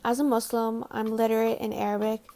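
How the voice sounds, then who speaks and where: A woman's voice: fairly high pitch, little pitch movement, brisk, warm tone. A woman whose first language is Tamil gives a casual, chatty, self-deprecating voice-over for a home makeover video. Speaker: DIY home makeover vlogger. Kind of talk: scripted voice-over narration. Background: faint background music.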